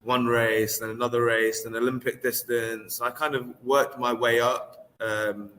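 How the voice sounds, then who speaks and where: A young man, a triathlete talking casually as an interview guest, relaxed and hesitant with many fillers. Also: mid-range voice, unhurried, big-room sound, low-quality recording. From England.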